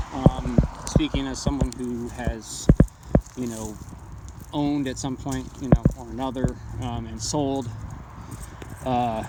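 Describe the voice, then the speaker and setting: A man giving a casual, off-the-cuff product demonstration, speaking haltingly and thinking aloud as he goes. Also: medium pitch. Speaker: amateur radio hobbyist reviewing handheld radios. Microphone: handheld phone camera. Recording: noisy phone video with clicks.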